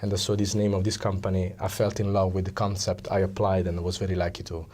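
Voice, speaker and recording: An Italian man with an Italian accent, explaining things as an interview guest in a conversational but halting way, often pausing to search for words. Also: low voice, flat delivery, a little mellow. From Italy. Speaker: interview guest, psychology coach.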